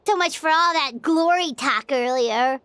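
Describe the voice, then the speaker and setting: Squeaky, bright little companion. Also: female voice, a little slow. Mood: indignant. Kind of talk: video game voice acting.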